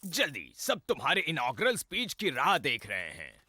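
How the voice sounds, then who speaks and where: A man's voice: on the high side, huge pitch swings, moderate pace, heavy background noise. An actor playing an officious, easily impressed male government inspector in a cartoon, with emphatic delivery. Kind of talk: Hindi-dubbed cartoon acting.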